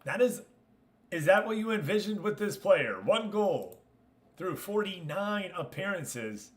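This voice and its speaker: A man's voice: sits high, animated, a little slow. A man hosting a gaming livestream, talking casually and chummily to his viewers as he comments. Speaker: livestream host.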